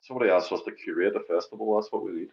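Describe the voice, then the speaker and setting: A man's voice: low-pitched, very fast, creaky, muffled recording. A Northern Irish man co-hosting a music podcast in casual chat, often repeating phrases for emphasis. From Northern Ireland.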